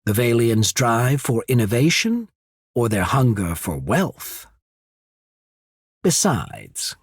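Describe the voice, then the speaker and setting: A man voicing a shrewd, courteous trading company secretary in a video game, speaking formally and diplomatically with subtle disdain and a husky voice. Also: mid-range voice, no room sound, clean studio sound, exaggerated voice. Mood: pointed, critical. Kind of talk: video game character voice acting.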